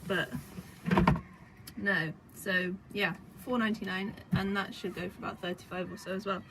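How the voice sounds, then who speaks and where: Young woman, a bit croaky with a cold, casual chatty vlogger, mid-range voice, noisy home recording. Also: medium pitch, steady tone, moderate pace. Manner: chatty, rambling with many fillers. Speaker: vintage reseller vlogger. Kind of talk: casual chat. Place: home indoors. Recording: home recording with clear background noise.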